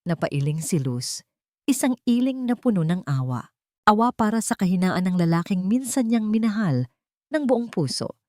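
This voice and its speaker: A woman narrating a dramatic audiobook story from a script in a deliberate, emotive storytelling manner. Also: medium pitch, very animated, a little mellow, dry recording, clean studio sound.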